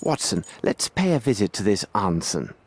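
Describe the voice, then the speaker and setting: A clever, composed gentleman detective. His voice is on the low side with very lively intonation. He speaks formally and precisely, polite yet in command. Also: normal speed, slightly rough, exaggerated voice. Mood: brisk, pensive. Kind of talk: video game cutscene acting.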